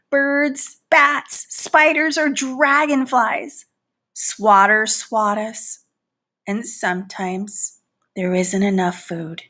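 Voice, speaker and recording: Woman as an indignant, dramatic storybook housefly, bright-voiced. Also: high-pitched, full character voice. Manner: theatrical, pleading, mock-wounded and boastful. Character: indignant, dramatic housefly, proud yet easily hurt. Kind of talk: storybook read-aloud in character.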